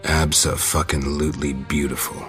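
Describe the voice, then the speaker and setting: An actor voicing a grim, sardonic monster hunter in a video game, dry and laconic. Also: male voice, rumbling low, level tone, normal speed, a bit bright, soft background music. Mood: dry, stern.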